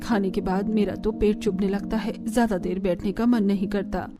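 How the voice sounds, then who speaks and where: A woman's voice: mid-range voice, steady tone, normal speed, over music, natural voice. A woman voicing a scolding older village mother-in-law who is protective and exasperated, in a family cartoon. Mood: weary complaint. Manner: scolding, exasperated, rustic idioms. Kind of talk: Hindi family cartoon voice acting.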